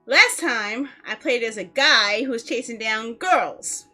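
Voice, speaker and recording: A chatty woman hosts a game livestream in a lively, high-pitched voice that moves up and down a lot. Her delivery is casual and giggly as she talks to viewers. Faint music plays behind her. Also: a little slow. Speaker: video game livestream host.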